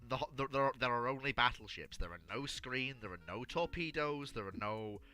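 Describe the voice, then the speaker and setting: A man's voice: mid-range voice, lively intonation, a little fast, pushed and bright, soft background music. A man in a casual panel discussion who repeats his words as he cuts in. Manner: repeats words when cutting in.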